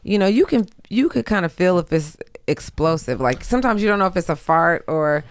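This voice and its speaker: A woman's voice: on the low side, animated, brisk, dry recording. A woman guesting on a podcast, speaking casually with blunt, slangy frankness. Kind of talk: casual chat.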